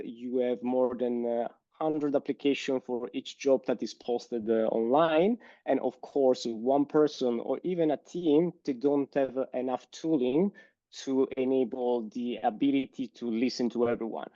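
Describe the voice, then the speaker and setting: A man with an Italian accent answering interview questions in a thoughtful way, pausing often with "uh". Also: medium pitch, mellow, no echo. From Italy. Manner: thoughtful, hesitant with frequent "uh" pauses. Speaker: guest. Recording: slightly dull lo-fi sound.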